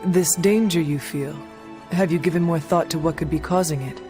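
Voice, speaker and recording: An actor playing a solemn, courteous warrior leader from another age in a video game, with formal, old-fashioned wording. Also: male voice, on the high side, moderate pace, lightly acted. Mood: concerned. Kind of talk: video game cutscene acting. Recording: music playing behind.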